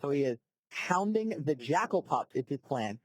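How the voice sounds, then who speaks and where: A man doing play-by-play commentary on a live card game match, brisk and informative, calling each play and counting the damage. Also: fairly high pitch, big pitch swings, dry recording.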